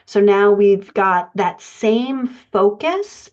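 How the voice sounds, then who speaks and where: A woman coaching writers in an explanatory lecture style, speaking haltingly with pauses as she thinks through her points. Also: medium pitch, expressive, darkish tone. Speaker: writing coach presenting a podcast lesson. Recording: dull, band-limited audio.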